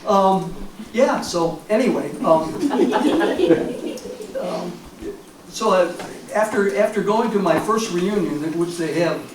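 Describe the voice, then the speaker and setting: An American man in his seventies, a war veteran reminiscing informally in a halting, rambling way with frequent pauses. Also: sits high. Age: 70s. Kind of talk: informal reminiscence at a public talk. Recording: echoey, distant mic, clear background noise.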